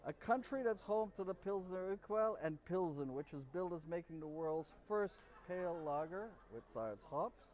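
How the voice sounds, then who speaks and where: A man in his forties with a slightly high voice co-hosts a loose, jokey chat. He trips over names as he reads from a prompter. He is recorded close to a camera set on a table in an open-air bar courtyard. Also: expressive, normal speed, few pauses, a little mellow. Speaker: podcast co-host. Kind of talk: casual chat reading from a prompter. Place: outdoor courtyard of a city bar.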